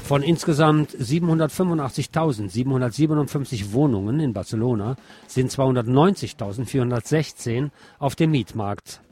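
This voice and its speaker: A man's voice: mid-range voice, a little fast, darkish tone, breathy edge. A man reading scripted radio news and commentary, adding opinionated asides of his own.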